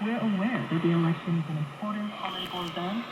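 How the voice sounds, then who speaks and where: A woman's voice: fairly low pitch, quick pace. A woman reading a scripted news bulletin, heard over a shortwave radio broadcast. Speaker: radio newsreader. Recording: dull, very noisy shortwave radio reception. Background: loud radio static.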